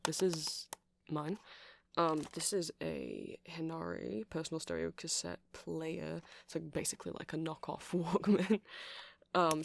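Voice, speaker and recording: Man playing a nerdy rambling vampire shopkeeper, creaky voice. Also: on the high side, exaggerated voice. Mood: friendly, hesitant. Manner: hesitant, chatty, nerdy explaining. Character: nerdy, friendly, rambling male vampire music shop clerk. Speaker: shopkeeper character in ASMR roleplay. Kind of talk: soft ASMR roleplay, improvised rambling.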